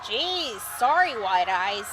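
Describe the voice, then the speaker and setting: A woman's voice: mid-range voice, very animated, takes it slowly. A woman voicing a hyper, dramatic teenage girl in an audio drama, exclamatory and over the top, on a noisy recording. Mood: apologetic. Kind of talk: fan audio drama acting.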